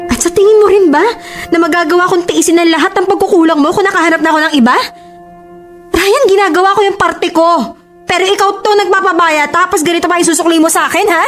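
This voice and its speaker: A woman's voice: medium pitch, mellow, lightly acted. A woman playing a devoted, hurt bride-to-be in a relationship drama, speaking emotively with a Filipino accent. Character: long-suffering, devoted bride-to-be, hurt and defensive.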